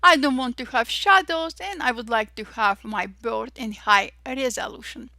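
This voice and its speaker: A young woman with an Armenian-Russian accent, cheerfully walking through software steps one by one and saying "voila" after each result. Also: on the high side, dry recording, studio quality. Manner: cheerful, says "voila" and "perfect" often, slow deliberate steps. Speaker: Photoshop tutorial instructor. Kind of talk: step-by-step software tutorial narration.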